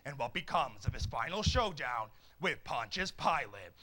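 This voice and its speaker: A man playing a dramatic movie-trailer announcer with a booming, portentous delivery. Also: sing-song, moderate pace, sharp tone. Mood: ominous.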